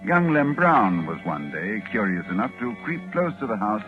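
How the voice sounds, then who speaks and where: An actor playing a grave, learned doctor who narrates in formal, ominous 1940s radio style. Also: male voice, on the low side, big pitch swings, moderate pace. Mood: ominous, urgent. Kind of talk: 1940s radio acting, dramatic scripted narration. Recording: muffled, narrow vintage 1940s radio, music.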